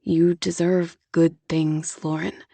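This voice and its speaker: A woman putting on a tender young man in love, speaking low and hushed in an audiobook. His words come slow and coaxing, with a soft, gentle weight. The recording is dry and close. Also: flat delivery, vocal fry, no room sound, heavily acted.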